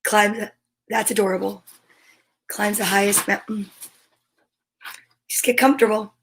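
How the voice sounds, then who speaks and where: A woman hosting an online story time, speaking in a playful, expressive way as if chatting casually with viewers. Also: mid-range voice, measured pace, a little hiss or hum. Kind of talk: casual livestream chat with short read-aloud passages.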